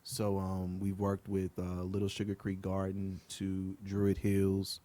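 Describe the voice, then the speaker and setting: A man from Liberia, a guest on a podcast, talking in a relaxed, earnest way with many ums. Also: low voice, slow pace. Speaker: guest, outdoor group co-founder. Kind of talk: casual podcast interview.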